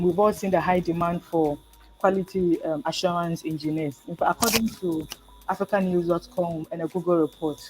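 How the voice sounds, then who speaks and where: Low-voiced woman giving a measured talk. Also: a little mellow, a little hiss or hum, poor audio. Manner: measured, with frequent um fillers. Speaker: guest speaker. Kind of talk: prepared talk at an online event.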